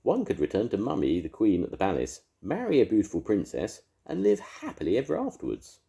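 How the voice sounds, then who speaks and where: A man's voice: lowish voice, wildly swooping pitch, fairly quick, creaky, dark tone, pitched above the performer's own voice, exaggerated voice. A man voicing a polite, posh male beast, a cursed prince, with a plummy upper-class drawl.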